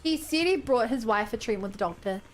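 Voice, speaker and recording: A woman's voice: fairly high pitch, lively intonation, fast, soft background music. A woman with a New Zealand accent, a game streamer chatting casually and reading on-screen text aloud.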